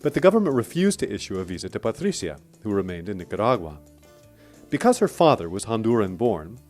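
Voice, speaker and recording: A man narrating a scripted radio documentary in a steady, clear storytelling manner. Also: low voice.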